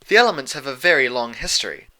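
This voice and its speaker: A man voicing a regal, patient female pony princess, explaining things in a measured way in a story. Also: medium pitch, big pitch swings, pushed and bright, pitched above the performer's own voice. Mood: explanatory.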